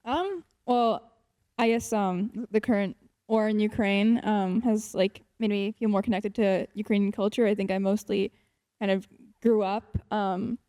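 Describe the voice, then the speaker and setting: A woman's voice: mid-range voice, unhurried, warm tone. A teenage girl, a student poet, who reads a poem and then answers questions with many fillers and restarts. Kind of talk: poetry reading and casual answers.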